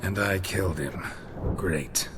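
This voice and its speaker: An actor playing a weary, dry-witted captive warrior in a video game, gruff and laconic. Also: male voice, deep voice, slow pace, noisy background. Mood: deadpan. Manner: laconic, deadpan.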